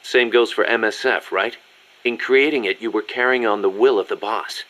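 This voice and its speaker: A man's voice: on the low side, moderate pace, sharp tone, poor audio. A man playing a businesslike, articulate military second-in-command explaining things in a video game. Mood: earnest, admiring. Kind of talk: scripted video game briefing dialogue.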